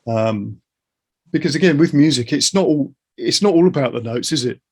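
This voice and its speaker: A man's voice: on the high side, animated, normal speed, a little mellow, husky. A middle-aged man hosting a casual chat, musing informally and circling round his ideas. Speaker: interviewer, guitar teacher.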